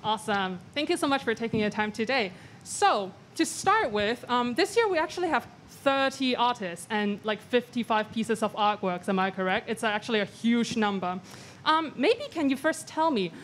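A woman's voice: on the high side, animated, a little hiss or hum. Polite young woman moderating a panel. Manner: polite, measured, reads from notes. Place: large gallery, indoors. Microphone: handheld mic.

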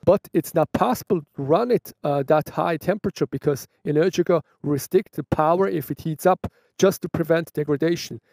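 A man's voice: fairly high pitch, normal speed, creaky, hardly any pauses, darkish tone, dry recording. A man from Switzerland with a Swiss German accent, answering viewer questions in a casual vlog outdoors, chatty and often restarting his sentences. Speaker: motovlogger. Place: outdoors by a roadside bench.

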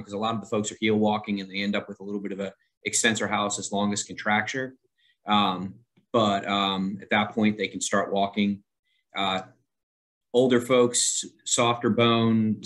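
A man on a video call, a surgeon explaining his procedure step by step in a brisk, practical way with many ums. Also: lowish voice, fairly bright tone. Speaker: surgeon panelist. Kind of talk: clinical explanation. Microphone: video call webcam.

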